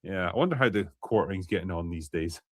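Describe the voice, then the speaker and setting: Low-pitched male commentator with a scornful tone and a dry, distant sound. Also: expressive, fast, no room sound. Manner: scornful, mocking. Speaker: commentator in a played clip.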